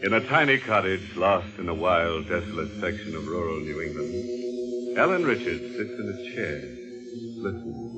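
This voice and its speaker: A sinister, gloating horror host. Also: male voice, low voice, lively intonation. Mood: ominous. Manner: menacing, macabre, slow and deliberate. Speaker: host and narrator of a radio horror drama. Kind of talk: 1940s radio horror narration. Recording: dull old radio broadcast with music underneath.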